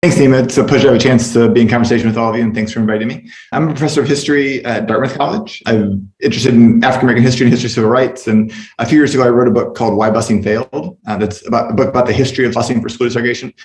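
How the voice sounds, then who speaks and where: A man's voice: mid-range voice, fairly even intonation, racing, few pauses, a little mellow. A man speaking as a professor on a panel, explaining his work, recorded with echoey room sound. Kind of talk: introducing his research on a panel.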